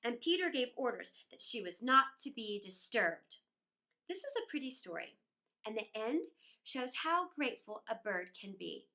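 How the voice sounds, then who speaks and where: A woman reading a children's story aloud in an expressive, dramatic way, with musing asides and pauses. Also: on the high side.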